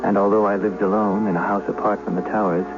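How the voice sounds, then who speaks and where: An actor playing a grave, troubled steward in an old radio drama, speaking in a measured, formal storytelling way. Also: male voice, fairly low pitch, flat delivery, loud music behind the voice, close to an everyday voice. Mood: somber, reflective. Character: grave grown steward narrating his guilty past. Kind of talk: scripted first-person radio drama narration. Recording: very noisy, dull vintage radio broadcast.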